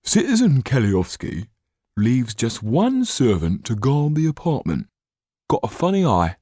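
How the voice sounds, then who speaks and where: A man voicing a slow, bashful, simple-hearted older sidekick in a storybook, speaking simply and haltingly. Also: medium pitch, very animated, darkish tone, no echo, studio quality, voice pushed up. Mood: matter-of-fact.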